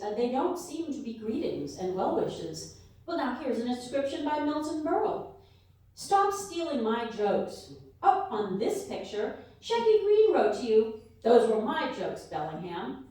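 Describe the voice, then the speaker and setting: Actress as polite woman radio interviewer, exclamatory, curious, fairly high voice, live comedy play, heavy echo. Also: darkish tone. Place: live fundraiser event room before an audience. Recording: echoey live hall, distant mic.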